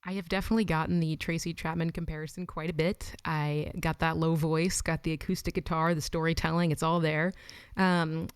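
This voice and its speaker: Young woman, smooth low-pitched voice. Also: flat delivery, fairly quick, no room sound. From Los Angeles. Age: 20s. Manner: chatty, frequent "like" and "um", laughs between thoughts. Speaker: musician guest. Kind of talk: casual interview chat.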